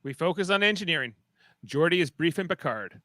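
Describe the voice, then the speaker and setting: A man's voice: a little high, lively intonation, a little fast, bright, forceful tone, dry recording. A man narrating stage directions for a fan radio play in a casual, wry way.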